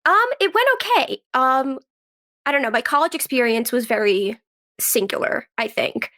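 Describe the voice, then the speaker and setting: A young woman with a fairly high voice, speaking while she has a cold. She talks in a casual, conversational interview style, dropping "like" often into her sentences as she explains things. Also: sing-song, normal speed, sharp tone. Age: 20s. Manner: youthful, conversational, peppers talk with "like". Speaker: guest, actress and writer.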